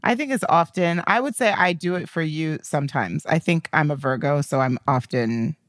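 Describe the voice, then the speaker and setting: A woman's voice: deep voice, normal speed, no room sound, clean studio sound. A woman hosting a podcast in casual chat with a friend, often laughing as she talks. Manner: laughs often while talking. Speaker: podcast host.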